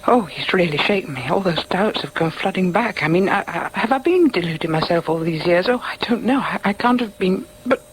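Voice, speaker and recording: A woman's voice: lowish voice, expressive, brisk, hardly any pauses, slight background noise, close to an everyday voice, poor audio. A woman playing an anguished middle-aged mother in a British radio drama, hesitant and agitated, thinking aloud as doubts crowd in. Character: anguished, self-doubting mother clinging to her religious certainty. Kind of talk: British radio drama acting with inner monologue.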